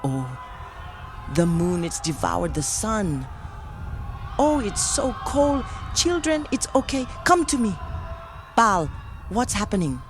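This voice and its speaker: Motherly female tribe elder acted by a woman, unhurried, widely swooping pitch, earnest dramatic fantasy delivery. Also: very animated, soft background music, a faint crowd. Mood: frightened awe. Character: solemn, motherly wife of a werewolf tribe chief.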